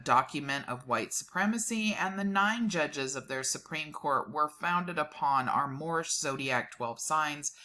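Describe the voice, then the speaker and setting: A woman hosting a dramatic reading of a document, reading deliberately and breaking off for informal explanatory asides. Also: sits low, pushed and bright. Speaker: host reading a document aloud.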